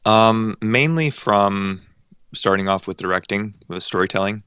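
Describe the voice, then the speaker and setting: A young man in his late teens talks in a casual interview, halting and modest, often pausing on ums. His voice is very low yet bright and crisp, and the recording is dry and close. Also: lo-fi sound. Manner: hesitant, modest, many ums. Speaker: guest voice actor and director.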